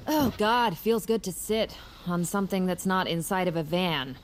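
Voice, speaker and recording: A woman's voice: fairly low pitch, normal speed, noisy background, close to an everyday voice. An actress playing a wry, skeptical woman with a casual, probing manner in a naturalistic audio drama. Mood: wry, weary.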